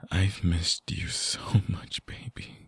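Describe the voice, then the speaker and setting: A man voicing a lovesick vampire in intimate ASMR roleplay, husky and breathy, often dropping toward a whisper, halting, tender and earnest, close on the mic. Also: deep voice, little pitch movement, fairly bright tone, exaggerated voice. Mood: remorseful, pleading. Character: lovesick male vampire returning to his lost lover. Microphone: close binaural microphone.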